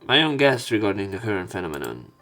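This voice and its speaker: A man's voice: on the low side, very animated, fast, sharp tone. A man reading a visual novel's narration aloud as a let's player, stumbling now and then and repeating words. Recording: music playing behind the voice. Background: game music.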